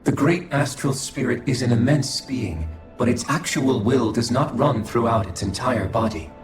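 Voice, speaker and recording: A man playing a calm, coldly logical alien observer in a video game, speaking formally and dispassionately. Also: medium pitch, close to an everyday voice. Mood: detached, formal. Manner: formal, measured, dispassionate delivery. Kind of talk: scripted video game cutscene acting. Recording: echoing voice over background music.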